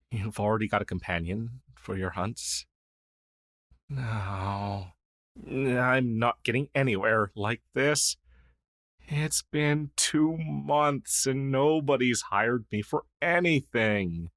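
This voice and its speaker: An earnest, flustered crow demon, acted by a man, swooping in pitch. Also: fairly bright tone, dry recording, clean studio sound, exaggerated voice. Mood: dejected. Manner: earnest, flustered, hesitant stammering. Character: friendly, down-on-his-luck male crow demon, former witch's familiar. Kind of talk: ASMR fantasy audio roleplay.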